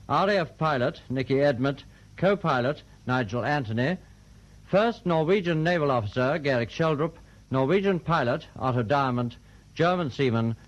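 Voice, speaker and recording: A British man working as a radio continuity announcer, reading scripted credits formally. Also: on the high side. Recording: dull old radio recording.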